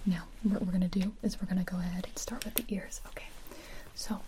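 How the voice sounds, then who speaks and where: A woman's voice: on the low side, fast talker, background noise, natural voice. A woman whispering as a rude ENT doctor. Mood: deadpan whisper. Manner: deadpan, insulting bedside manner. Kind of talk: comedic ASMR roleplay. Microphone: close mic.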